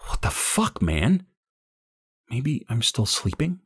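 A man's voice: mid-range voice, big pitch swings, slow, slightly rough, no echo, clean studio sound, voice pushed up, exaggerated voice. A man playing an uneasy, guilt-ridden character in an audiobook, hesitant, pleading and defensive. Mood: dazed.

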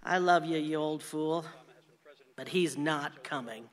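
An actress playing an exasperated, sharp-tongued older wife who teases and nags sardonically in a radio play. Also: female voice, low voice, slow pace, big-room sound. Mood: sardonic, exasperated. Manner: sardonic, nagging, outspoken.

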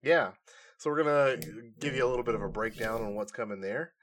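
A man hosting a podcast, explaining things in a casual, animated and informal way. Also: mid-range voice, brisk. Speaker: podcast host. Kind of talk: casual nerd-news podcast chat.

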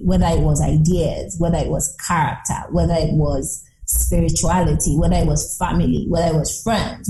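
A woman's voice: lowish voice, mellow. A woman in her thirties speaking reflectively as an interview guest, earnest and emphatic, building her points through repetition. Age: 30s. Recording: roomy, echoing sound.

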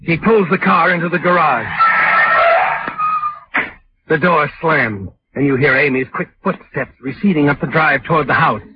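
An actor playing an ominous, all-knowing narrator who sardonically addresses the culprit in a 1940s radio mystery. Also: male voice, on the high side, moderate pace, long pauses, very noisy, natural voice. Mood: knowing, suspenseful. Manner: sardonic, addresses the character as "you". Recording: muffled vintage radio recording with music.

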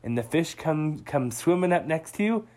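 A storyteller voicing a patient, stern father otter in an improvised bedtime story, speaking calmly and quietly. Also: normal speed, a little hiss or hum, close to an everyday voice. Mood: hushed. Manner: calm, low, hushed.

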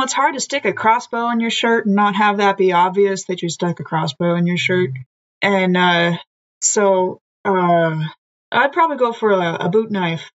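A woman playing a tabletop game, thinking aloud with dry humor. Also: mid-range voice. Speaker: player. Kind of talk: casual tabletop game chat. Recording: dull lo-fi sound.

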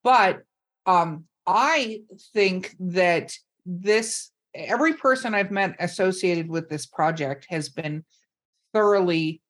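A woman's voice: fairly low pitch, takes it slowly. A woman hosting a casual podcast, chatty and teasing in her manner. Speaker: podcast host, author and publisher.

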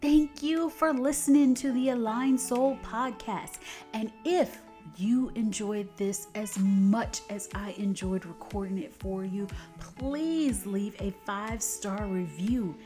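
A woman's voice: medium pitch, slow, warm tone, soft background music. A woman hosting a podcast, bubbly and effusive, wrapping up an episode and reading her outro. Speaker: podcast host. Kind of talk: casual podcast chat and scripted outro.

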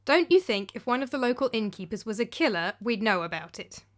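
Woman reader playing a level-headed man in a storybook, gentle and firm, lightly raised voice. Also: a little high, a little fast. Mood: reassuring. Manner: calm, gentle, firm reassurance. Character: calm, sensible older male host.

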